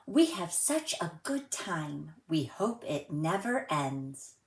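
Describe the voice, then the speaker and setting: Female children's read-aloud host, lowish pitch, unhurried and expressive delivery, roomy echo on the recording. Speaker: story time host reading a children's book aloud. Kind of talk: children's read-aloud storytelling and friendly presenting to young viewers.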